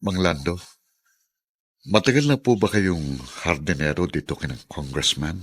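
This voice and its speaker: A man playing a quiet, candid ex-convict in a Filipino radio drama, speaking in a subdued, polite way. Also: sits low, normal speed, slightly rough.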